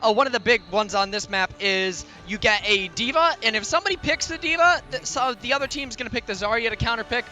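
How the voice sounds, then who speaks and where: A young man calling play-by-play for an esports broadcast, his voice sitting very high and sounding bright and forward. He rattles through the action and gets more excited as the fights build. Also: soft background music. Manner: rapid play calling that climbs in energy during fights. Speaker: play-by-play caster.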